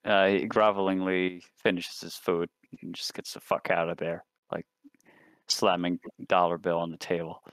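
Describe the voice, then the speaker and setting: A man playing a tabletop roleplaying game, talking casually with gruff, sweary banter. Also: deep voice, flat delivery, moderate pace, fairly bright tone, no echo.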